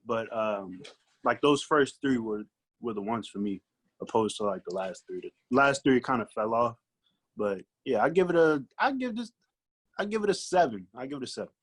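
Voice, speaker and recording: A man's voice: mid-range voice, expressive, moderate pace, breathy edge. A man with an American accent, a guest giving a casual album review in slang, thinking aloud as he goes. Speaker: podcast guest, recording artist.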